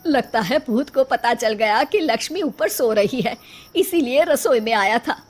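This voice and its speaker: Lady doing a cartoon household mom, middle-aged, worried and scolding, highish voice. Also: lively intonation, unhurried. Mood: cautious. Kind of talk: Hindi cartoon story dialogue.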